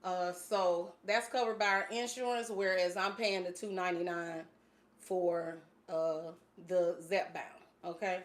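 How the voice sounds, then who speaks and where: A woman's voice: lowish voice, steady tone, measured pace, a little hiss or hum. A woman in her late forties chats through a casual livestream. She talks loosely, with plenty of fillers and easy laughter, and her grammar stays informal. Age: 40s. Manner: chatty, laughs often, many fillers. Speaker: fitness channel host.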